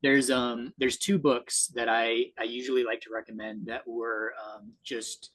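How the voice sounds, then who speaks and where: Guy on a video call, kinda husky, talking earnest and thoughtful like a guest. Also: mid-range voice, flat delivery, unhurried, a bit bright, rough recording. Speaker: guest speaker. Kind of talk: conversational discussion, recommending books.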